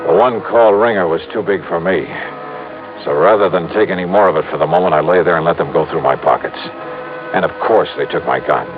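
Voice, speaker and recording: A narrow, phone-like old radio recording with music behind a deep-voiced detective played by a man. He is wry, tough and unflappable, talking in clipped hard-boiled fashion as a radio crime serial hero. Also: quick pace, lightly acted. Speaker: first-person narrator and hero. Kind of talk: old-time radio detective drama narration.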